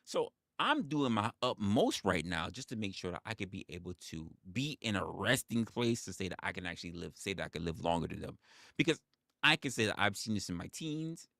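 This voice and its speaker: A man in his forties holds forth on a casual livestream in a low, heavy voice. He leans hard on his points, repeats phrases and swears freely. The sound is dry and close, with no room around it. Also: lively intonation, a little fast, creaky, a bit bright, no echo. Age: 40s. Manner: emphatic, repeats phrases, profane. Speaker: livestream host.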